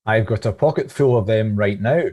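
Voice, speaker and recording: A man's voice: fairly low pitch, normal speed, darkish tone. A man reading a scripted devotional reflection as one of a church's readers.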